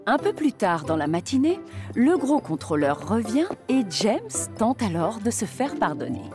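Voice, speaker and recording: A woman's voice: medium pitch, big pitch swings, unhurried. A woman giving scripted narration as the storyteller of a children's cartoon. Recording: music behind the voice.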